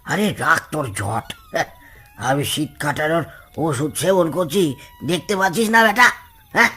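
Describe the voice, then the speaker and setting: A man playing a frail, grumbling but caring old village grandfather in a cartoon story, with halting, questioning speech and a creaky voice. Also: on the high side, animated, pushed and bright, full character voice. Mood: gruff, scolding. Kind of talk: Bengali cartoon story acting.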